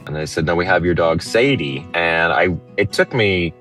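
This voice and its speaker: A man's voice: low-pitched, normal speed, fairly bright tone. A man telling a personal story in an interview, speaking earnestly and from the heart. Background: music.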